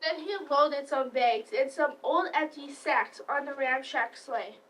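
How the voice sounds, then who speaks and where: School-age girl narrator, high voice, reading a storybook aloud; distant mic with faint noise. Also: a little slow, fairly bright tone. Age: child, about 11 to 12. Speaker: student narrator. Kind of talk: readers theater story narration. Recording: distant mic, noisy live stream.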